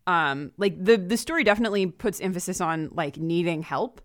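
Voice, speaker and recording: A woman with a low-pitched voice speaks as a podcast guest in casual discussion. She is thoughtful and analytical, and the recording is dry and close to echo-free. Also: a little fast. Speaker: guest co-host.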